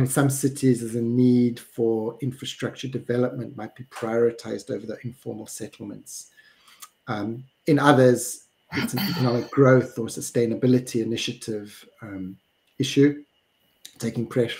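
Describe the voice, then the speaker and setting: South African male host, middle-aged, measured video-call moderator, dark mellow tone. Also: medium pitch, poor audio. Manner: measured, hums along while others talk. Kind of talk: moderating a webinar panel. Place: home room, indoors. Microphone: video call with earphone mic.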